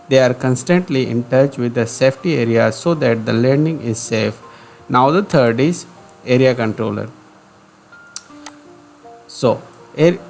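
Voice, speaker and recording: A man's voice: medium pitch, vocal fry, a little mellow. A man with an Indian accent presenting career guidance as a scripted explainer, in a didactic way that repeats key points for emphasis.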